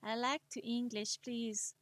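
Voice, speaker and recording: Slow, gentle woman streamer. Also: on the high side, little pitch movement, no echo. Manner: slow, soft-spoken, encouraging, laughs often. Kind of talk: casual chat while drawing on stream.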